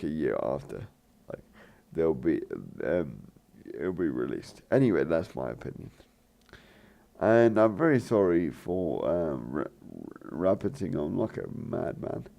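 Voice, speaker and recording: Deep croaky man, rambling gamer talk. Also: big pitch swings, measured pace, dark tone. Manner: hesitant, many fillers and restarts. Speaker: gaming channel host. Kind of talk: rambling let's play commentary.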